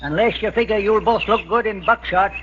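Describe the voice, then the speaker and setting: An actor playing a gruff, stubborn older farmer with a rural American accent in 1940s radio drama, folksy and curt. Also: male voice, high voice, fairly quick, natural voice. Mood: angry, threatening. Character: gruff, ornery older male country farmer. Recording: dull, faintly noisy old radio recording.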